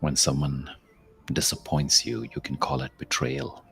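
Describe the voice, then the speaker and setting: Male meditation guide, extremely low voice, contemplative spoken-word delivery with long pauses, faint music underneath. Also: big pitch swings, gravelly. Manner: measured, contemplative, with long pauses and softly trailing phrases.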